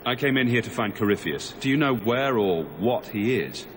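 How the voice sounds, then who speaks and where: A man's voice: medium pitch, animated, moderate pace, close to an everyday voice. Man as a dry mage hero, noisy background. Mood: questioning. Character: sardonic male mage hero questioning a stranger. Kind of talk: video game voice acting. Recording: noisy ambience behind the voice.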